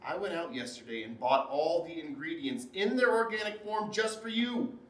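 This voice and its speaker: A man's voice: fairly high pitch, lively intonation, normal speed, a bit bright. A man acting a needling, self-absorbed husband in a naturalistic relationship drama, with emphatic delivery. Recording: echoey, distant mic, background noise.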